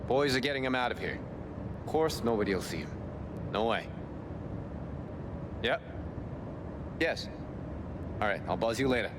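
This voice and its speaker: A man's voice: medium pitch, lively intonation, unhurried, pauses often, close to an everyday voice. Man acting a blunt, callous fixer. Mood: offhand, businesslike. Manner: blunt, offhand, casual. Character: callous, matter-of-fact male fixer arranging a cover-up by phone. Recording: clear background noise.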